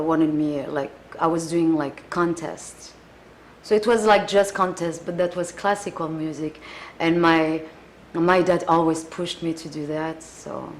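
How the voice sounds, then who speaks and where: Woman, French accent, lowish pitch, earnest, hunting for words, casual interview, faint background hum. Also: poor audio. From France. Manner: earnest, searching for words, many "like" fillers. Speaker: singer, interview guest.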